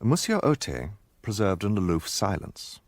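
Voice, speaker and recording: An actor playing a gentlemanly narrator who recounts his own adventure as scripted first-person radio drama narration. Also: on the low side, sing-song, moderate pace, no room sound. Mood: reflective, recounting.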